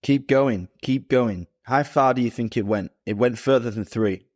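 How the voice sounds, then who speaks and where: A man's voice: mid-range voice, moderate pace, a little mellow, no echo, clean studio sound. A man co-hosting a casual podcast chat, explaining things fluently and with enthusiasm. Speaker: podcast co-host.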